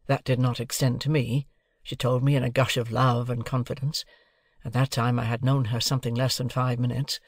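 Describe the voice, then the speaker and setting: A man's voice: mid-range voice, little pitch movement, a little fast, dry recording, studio quality. A man reading a classic novel as an audiobook narrator, in a literary storytelling style.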